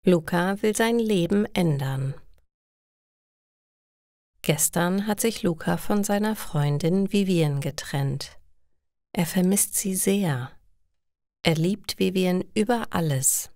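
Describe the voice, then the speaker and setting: A woman narrates a story for language learners with a fairly low voice and a very slow, deliberate delivery. Each short sentence stands apart, and the recording is very dry. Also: a little mellow, no echo.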